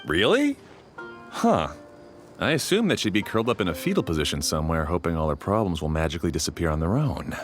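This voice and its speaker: Game casting: slangy, snarky slacker caretaker, lowish voice with lively swings, music behind. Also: male voice, fast talker. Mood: dismissive. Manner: hesitant slangy drawl with filler words. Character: laid-back, slangy male caretaker creature, mildly snarky.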